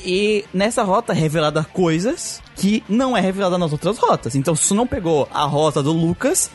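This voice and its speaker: Brazilian dude explaining stuff fast on a podcast, slightly high voice, slangy. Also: male voice, lively intonation, soft background music. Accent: Brazilian Portuguese. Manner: explains at length, slangy, trails off into tags. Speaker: podcast co-host. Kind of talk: casual podcast chat.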